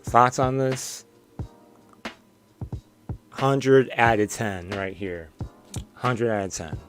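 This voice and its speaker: A man's voice: medium pitch, drawn-out words, faint music behind. A tired young man streaming from his bedroom, losing his voice and rambling casually with small laughs. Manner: tired, rambling, chuckling often. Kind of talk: casual stream sign-off chat.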